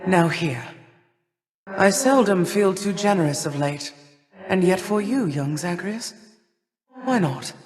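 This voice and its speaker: A woman playing an imperious, cold older goddess of winter and harvest in a video game, with stately, formal, measured delivery. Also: sits low. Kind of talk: theatrical video game character lines. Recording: long reverberant hall echo.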